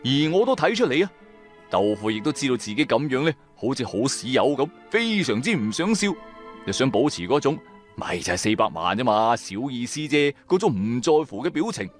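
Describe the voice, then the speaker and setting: Radio drama lead: male actor as wry, streetwise businessman narrator, Cantonese, colloquial and highly expressive over soft music. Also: fairly quick, lightly acted. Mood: amused, exasperated. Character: streetwise, wry businessman turned tomb raider. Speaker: narrator and main character of an audio drama.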